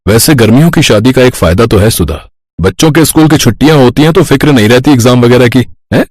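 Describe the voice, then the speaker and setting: An actor playing an agreeable, easygoing husband in a cartoon family story. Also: male voice, mid-range voice, lively intonation, fast talker, darkish tone, dry recording, studio quality, natural voice. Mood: reasoning.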